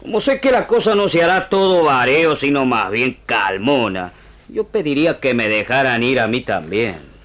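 An actor playing an old, wounded, grieving frontier sergeant with rural Argentine gaucho speech in a radio drama. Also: male voice, mid-range voice, big pitch swings, normal speed, a bit bright. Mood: pleading, defiant. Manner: emotional, rustic gaucho speech.

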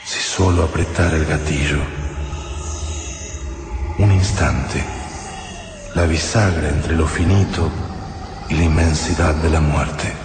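Very deep, echoing brooding fighter. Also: male voice, steady tone, music in the background. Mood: grave, pensive. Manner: slow, solemn, literary. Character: brooding, reflective male fighter standing over a captive enemy. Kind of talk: radio drama interior monologue. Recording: long reverb, clear background noise.

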